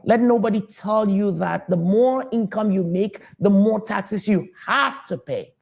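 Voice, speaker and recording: A man's voice: high voice, a little slow, vocal fry. A man from Cameroon, a tax advisor answering interview questions, confident and repeating his key points with some hesitant fillers.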